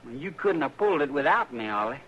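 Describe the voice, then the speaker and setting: A man's voice: medium pitch, sing-song, fast talker, fairly bright tone. A young man playing a stubborn young outlaw in a radio western, speaking in a whiny, drawling, pleading way. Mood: insistent. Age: young adult. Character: stubborn young outlaw, set on bringing his girl. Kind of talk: 1950s radio drama acting. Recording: dull old radio broadcast, faint hiss.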